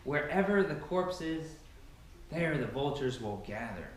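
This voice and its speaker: Young male Bible reader, slightly high-pitched, dramatic and emphatic delivery, heavily echoing bare room, distant mic. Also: animated, unhurried. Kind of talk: dramatic scripture reading. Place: bare carpeted living room indoors. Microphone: far camera. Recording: very echoey empty room, distant microphone.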